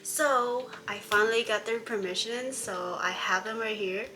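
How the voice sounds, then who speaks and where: A woman's voice: medium pitch, a little slow, noisy background. A woman vlogger from Hawaii chatting casually about a comic she is reading, exasperated and rambling, with many fillers and restarts.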